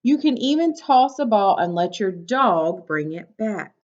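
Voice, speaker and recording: A woman's voice: mid-range voice, big pitch swings, unhurried, a little mellow. A woman teacher reading a picture book aloud to young children, instructing and prompting them with questions. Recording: dull, lo-fi sound.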